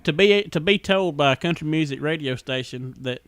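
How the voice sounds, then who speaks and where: A man's voice: on the high side, a little fast, fairly bright tone, smooth. A man with a Southern US accent chatting casually as a podcast host, telling things in a folksy, rambling way. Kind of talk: casual chat.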